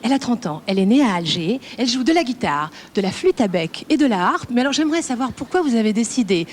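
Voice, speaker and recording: A woman's voice: a little high, sing-song, fast. A woman co-hosting a live TV contest, asking a singer prompting questions. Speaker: TV co-host. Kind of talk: live TV interview. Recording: live theatre broadcast.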